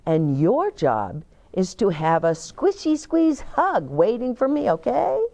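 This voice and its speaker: Picture-book mom, tender and reassuring, slow lilting delivery played by a woman reader. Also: mid-range voice, huge pitch swings, warm tone, full character voice. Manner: soothing, explaining patiently to a child. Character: gentle, loving, reassuring young mother.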